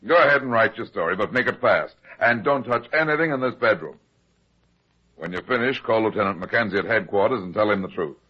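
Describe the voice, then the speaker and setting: An actor playing a hard-boiled, heavyset private detective, terse and deadpan, in old-time radio style. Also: male voice, on the low side, brisk, close to an everyday voice. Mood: grim, businesslike. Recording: dull, lo-fi old radio drama recording.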